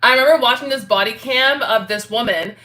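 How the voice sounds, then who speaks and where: A woman hosting a casual livestream, chatting to her viewers in an animated, giggly, slangy way. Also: on the high side, a little fast. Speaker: livestream host reading and answering viewer chat.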